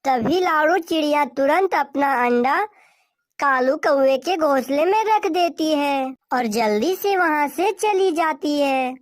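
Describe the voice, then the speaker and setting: Slow, high-voiced woman storyteller. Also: animated, no echo, clean studio sound. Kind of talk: scripted children's story narration.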